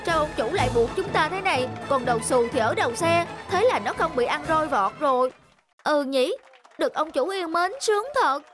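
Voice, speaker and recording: Very high-pitched envious cartoon sled dog. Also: female voice, expressive, moderate pace. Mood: envious, striving. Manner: exclamatory, complaining. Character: envious, grumbling young sled dogs. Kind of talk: animated cartoon voice acting. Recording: music under the dialogue.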